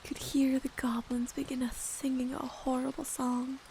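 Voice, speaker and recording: A woman with a stuffy nose reading a story aloud in a soft, gentle ASMR whisper. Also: high voice, little pitch movement, moderate pace, slight background noise.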